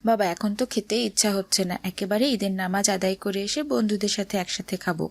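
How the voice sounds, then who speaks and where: A woman's voice: mid-range voice, fairly even intonation, fast talker, dry recording, natural voice. A woman voicing a devout, friendly young boy in a cartoon, earnest and courteous. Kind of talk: cartoon drama voice acting.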